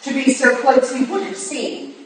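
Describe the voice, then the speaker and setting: A woman's voice: sits high, normal speed, natural voice. A woman in a staged reading, playing a stern, commanding Victorian lady investigator with a curt, clipped manner. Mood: focused, brisk. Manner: imperious, clipped, brusque. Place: roomy hall at a live reading. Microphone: distant recorder. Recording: echoey room from far off, faint noise.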